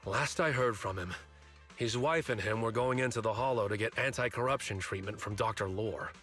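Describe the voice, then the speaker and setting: Actor as a bright, forceful big working man. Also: lowish voice, moderate pace, soft background music, natural voice. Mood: friendly, concerned. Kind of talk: video game story dialogue acting.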